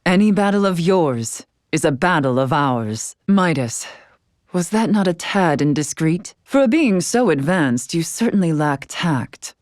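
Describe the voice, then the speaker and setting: An actress playing a dignified, stern, scholarly woman in a fantasy video game, speaking in formal, archaic, measured phrases. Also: lowish voice, expressive, a little mellow, dry recording, clean studio sound. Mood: reflective, formal. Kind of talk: scripted video game character dialogue.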